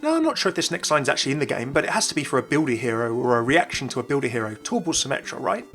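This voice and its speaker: A man's voice: medium pitch, very animated, racing, a bit bright, soft background music. A young man hosting a gaming video with scripted narration. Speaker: YouTube gaming host.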